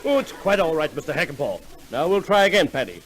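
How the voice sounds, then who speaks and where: An actor playing a pompous, self-assured psychoanalyst doctor in an old-time radio comedy sketch. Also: male voice, a little high, sing-song. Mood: patient, pompous. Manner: pompous, formal, deliberate questioning. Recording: old radio recording, faint noise.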